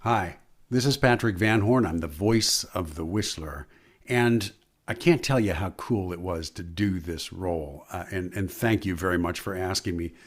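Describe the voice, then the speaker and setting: A man's voice: low-pitched, moderate pace. A middle-aged or older man, a voice actor, speaking casually as he shares personal recollections. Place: his own studio.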